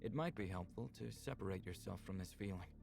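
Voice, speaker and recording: A man acts out a gentle alien man in a game, with a very low, creaky voice. He speaks earnestly and explains things in a measured, reassuring way, with music clearly playing behind. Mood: calm, reassuring. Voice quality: low, gravelly.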